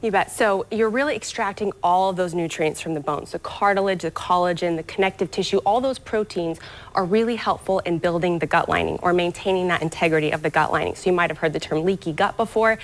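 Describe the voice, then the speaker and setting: A woman's voice: lowish voice, quick pace, poor audio. A woman guest on a TV lifestyle show, upbeat and explanatory as she walks through health tips in a studio. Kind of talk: TV morning show interview.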